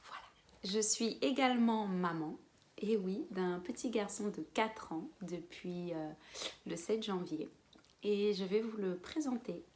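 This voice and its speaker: A woman in her twenties from French Guiana, chatting casually to camera as a beauty vlogger, with quiet whispered asides. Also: medium pitch, a little slow, slight background noise. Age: 20s. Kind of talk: casual vlog chat to camera.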